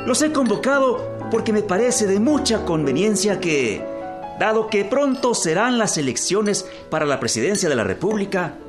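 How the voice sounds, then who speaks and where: An actor playing a courteous Mexican revolutionary general in a radio drama, speaking in a formal, oratorical way. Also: male voice, sits high, big pitch swings, normal speed, music in the background. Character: revolutionary-era Mexican general rallying fellow officers, courteous.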